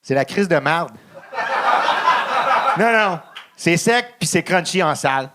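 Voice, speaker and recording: Young anglophone guy, Quebec accent, deadpan dark stand-up, slightly high voice, hesitant delivery. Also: heavy background noise. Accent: English-speaking Quebecer speaking French. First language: English. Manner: halting with many ums, deadpan dark jokes. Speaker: stand-up comedian. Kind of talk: stand-up set.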